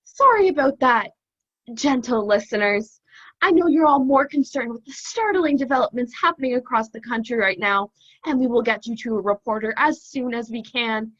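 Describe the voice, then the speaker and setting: A woman's voice: on the high side, lively intonation, normal speed, a bit bright, no room sound. A young woman playing a formal, unflappable radio station announcer in a comedic radio play. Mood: apologetic, formal. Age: young adult. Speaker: student actor. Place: room at home. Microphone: laptop webcam. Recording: slightly dull, dry webcam sound.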